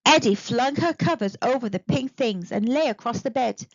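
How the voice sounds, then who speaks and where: A woman narrating a children's storybook in an expressive, deliberate read-aloud manner. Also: on the high side, no echo, muffled recording. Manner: animated, deliberate.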